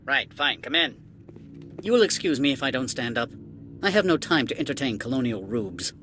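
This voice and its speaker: A man's voice: mid-range voice, expressive, moderate pace, fairly bright tone, soft background music, lightly acted. A man playing a condescending, irritable corporate administrator in a video game, curt and dismissive. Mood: irritated, dismissive.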